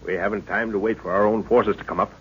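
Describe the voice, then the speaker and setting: An actor playing a middle-aged, commanding professor and protective father in an old radio serial, giving brisk, cautious orders. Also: fairly low pitch, fairly even intonation, husky. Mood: wary, decisive. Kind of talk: 1940s radio serial acting. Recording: dull, noisy old radio recording.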